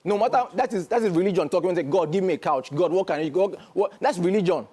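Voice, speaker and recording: A man's voice: high-pitched, fast talker, dark tone, slightly rough. A man with a Nigerian accent, a guest on a studio interview, speaking in an emphatic, lecturing way and often checking that he is understood. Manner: emphatic, lecturing, keeps asking "do you understand?". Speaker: guest commentator.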